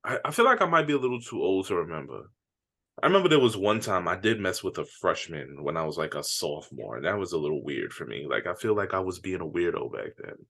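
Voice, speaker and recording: A man's voice: deep voice, very animated, fast talker, creaky, fairly bright tone. A man in his thirties co-hosting a podcast, chatting casually, laid-back and self-mocking, laughing a lot. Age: 30s. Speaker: podcast co-host. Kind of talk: casual chat.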